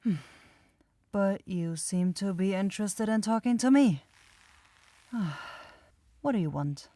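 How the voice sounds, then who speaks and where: A woman's voice: mid-range voice, expressive, slow, mellow, no room sound. A woman voicing an aloof, teasing young vampire girl in an ASMR roleplay, with a cool, sardonic, dismissive manner.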